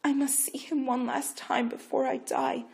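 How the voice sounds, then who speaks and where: A woman voicing a weak, dying young woman in labor, with a faint, breathy plea. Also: fairly high pitch, normal speed, pitched above the performer's own voice.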